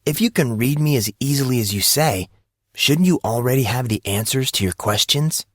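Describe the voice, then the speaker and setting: A man's voice: mid-range voice, moderate pace, no room sound, clean studio sound, pitched above the performer's own voice, natural voice. A man voicing a tense, guarded, sarcastic teenage boy with a wry, defensive way of talking in an audiobook. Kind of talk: audiobook drama reading.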